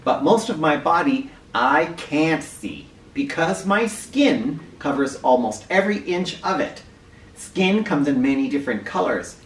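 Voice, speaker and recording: A man hosting an educational read-aloud for children, speaking directly to them with clear emphasis and questions. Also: fairly high pitch, very animated, measured pace. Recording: roomy, distant mic with faint noise.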